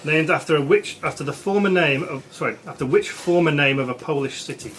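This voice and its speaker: A man's voice: fairly high pitch, a little fast. A man acting as quiz master, reading out questions at a live quiz in a social club. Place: social club room with background noise. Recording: noisy club room recording.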